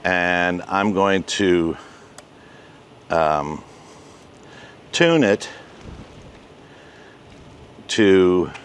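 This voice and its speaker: An older man in his sixties giving a casual step-by-step tutorial outdoors as host, with halting, deliberate delivery. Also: low-pitched, a little hiss or hum. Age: 60s. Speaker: host, ham radio hobbyist. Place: outdoors, residential backyard and covered patio.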